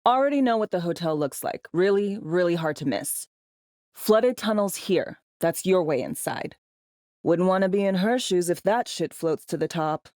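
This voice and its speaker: An actress playing a tough, bossy, wry spy handler in a cyberpunk video game, with clipped, slangy, teasing delivery. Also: female voice, on the low side, normal speed, a little mellow, dry recording, studio quality, close to an everyday voice. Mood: brisk, teasing. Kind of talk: video game voice acting, briefings and radio guidance.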